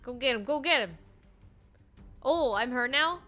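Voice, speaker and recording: Woman game streamer, high swooping pitch, playful, excitable, casual reactions, mock threats. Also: a little slow, over music. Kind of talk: casual live gameplay commentary, reacting.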